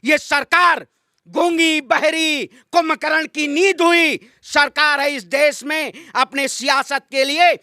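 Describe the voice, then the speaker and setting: A man's voice: very high voice, steady tone, slow pace, pushed and bright, no room sound, studio quality. A man giving an impassioned political interview in a combative, declamatory manner, repeating phrases for emphasis. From Ayodhya, Uttar Pradesh, India. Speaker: political activist being interviewed.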